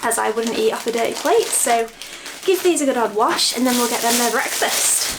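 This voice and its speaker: A woman's voice: a little high, animated, fairly quick, noisy background. A young woman vlogging casually about her day with her cats, a little sniffly from a cold and laughing often. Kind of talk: casual vlog narration.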